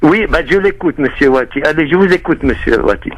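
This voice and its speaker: A fairly high-voiced man from Syria calling into a radio debate. He talks over the others and agrees loudly and often, cutting in on his own points. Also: animated, a little fast, slight background noise, poor audio. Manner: interrupting, with frequent eager agreement. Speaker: caller. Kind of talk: call-in debate.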